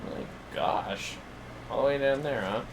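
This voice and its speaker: A man's voice: medium pitch, unhurried, gravelly, background noise. A man streaming a video game, chatting casually and muttering to himself as he plays. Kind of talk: casual livestream chat while gaming.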